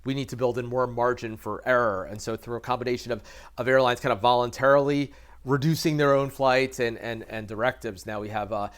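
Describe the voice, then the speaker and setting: An American man speaking as an expert guest in an interview, explaining haltingly with repeated words and careful qualifications. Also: medium pitch, steady tone, clean tone. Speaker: expert guest, aviation reporter. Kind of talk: conversational interview analysis.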